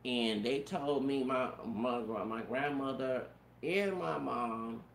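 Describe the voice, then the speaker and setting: Gravelly, feminine-sounding man in an echoey room. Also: mid-range voice, slow. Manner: repetitive stammered restarts, profanity, frequent "you know what I'm saying". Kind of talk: rambling personal storytelling rant. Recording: roomy echo, faint background noise.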